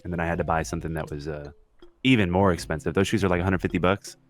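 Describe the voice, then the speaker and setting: A man's voice: low voice, steady tone, very fast. A young man co-hosting a podcast in casual chat, talking in a slangy, mildly profane way. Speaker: podcast co-host.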